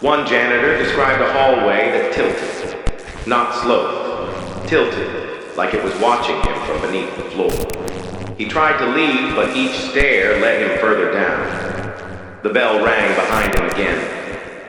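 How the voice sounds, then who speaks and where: Guy doing an ominous emergency broadcast announcer, analog horror, crisp forceful voice, super echoey. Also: fairly low pitch. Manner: solemn, measured, ominous. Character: grave male official announcer of an eerie emergency broadcast. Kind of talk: scripted analog horror public safety announcement. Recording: cavernous echo and reverb, noisy tape.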